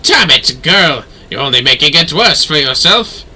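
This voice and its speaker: A man voicing a bullying, haughty temple knight from a fantasy video game, loud, indignant and barking. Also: on the high side, expressive, normal speed, slightly rough, full character voice. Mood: exasperated menace. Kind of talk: video game dialogue read aloud.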